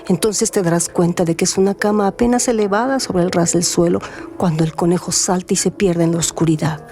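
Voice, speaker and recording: A woman narrating a literary radio drama in a measured, atmospheric storytelling manner. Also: lowish voice, steady tone, warm tone. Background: music.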